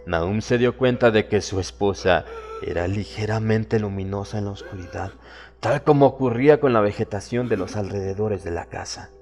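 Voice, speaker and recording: A man narrating a horror audiobook in a solemn, measured, dramatic storytelling manner. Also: lowish voice, a little mellow. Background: background music.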